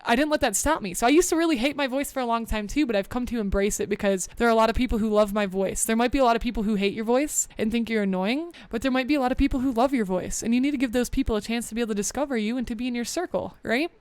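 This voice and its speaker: Earnest woman with a smooth voice. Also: very fast, hardly any pauses, a little mellow, dry recording. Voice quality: deep for a woman. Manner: earnest, encouraging, conversational with many fillers. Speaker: podcast host. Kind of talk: motivational podcast talk.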